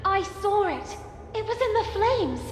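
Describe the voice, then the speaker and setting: An earnest young girl speaks in a very high, unhurried voice with long reverb around it. She is excitable and dramatic, in the style of an animated game. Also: faint music behind, full character voice. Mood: startled realization.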